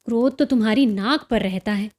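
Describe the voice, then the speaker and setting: A woman's voice: on the high side, moderate pace, a little mellow, pitched below the performer's own voice, lightly acted. A woman voicing a cheerful, teasing young sister-in-law in a story, with melodious, coaxing banter.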